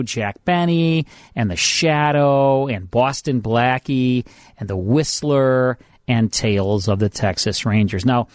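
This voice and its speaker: A man's voice: medium pitch, no echo. A man with an American accent hosting a radio show in a conversational, explanatory way. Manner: explanatory, trivia-sharing. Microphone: close studio microphone.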